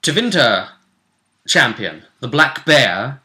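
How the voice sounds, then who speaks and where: Bright-voiced man hosting a chatty discussion. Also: mid-range voice, sing-song, slow pace. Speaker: host and game master. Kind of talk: casual sports-pundit style discussion of a role-play game.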